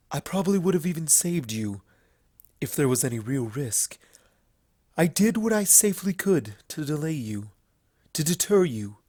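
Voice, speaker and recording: A man voicing a solemn, guilt-burdened pony princess in a storybook reading, speaking formally and gravely in measured phrases. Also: a little high, dark tone, dry recording, clean studio sound. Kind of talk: fan fiction storybook reading.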